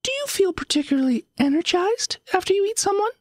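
A woman's voice: very high voice, big pitch swings, fast, no room sound, clean studio sound. A woman voicing a bookish, inquisitive little girl in an audio story, with formal, pedantic, articulate speech. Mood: probing. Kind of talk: acted audio story dialogue.

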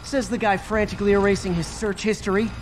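A man's voice: high-pitched, little pitch movement, quick pace, background noise, lightly acted. An actor playing a cheeky, eager young male superhero in a video game, full of quips. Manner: cheeky, quippy.

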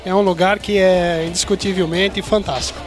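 A man's voice: sits high, steady tone, moderate pace, over music. A man dining at a restaurant, effusively recommending it while speaking into a handheld interview mic. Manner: effusive praise. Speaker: restaurant guest. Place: busy restaurant dining room. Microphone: handheld reporter mic.

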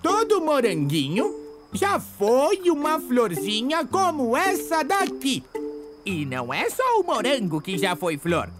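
A high, wildly animated young raccoon in a children's cartoon, with a Brazilian accent. The voice is playful, pleading and theatrical. Music plays loudly behind it. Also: takes it slowly, exaggerated voice. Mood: eager. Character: excitable, playful, pestering young raccoon who craves games.

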